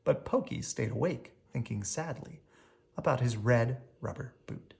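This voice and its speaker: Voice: mid-range voice, a little slow, creaky, darkish tone. A storyteller reading a children's picture book aloud expressively, drawing out key words.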